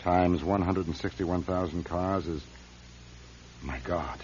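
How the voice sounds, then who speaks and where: An actor playing an uneasy, weary company president torn by conscience in a radio drama. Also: male voice, low-pitched, takes it slowly, darkish tone, breathy edge, full character voice. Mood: troubled. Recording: dull lo-fi old radio recording.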